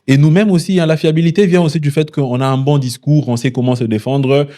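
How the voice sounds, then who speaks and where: Hesitant young man, dark voice. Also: fairly high pitch, fairly even intonation. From DR Congo. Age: 20s. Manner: measured, with many hesitations. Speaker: founder and CEO of a delivery startup. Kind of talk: interview answers.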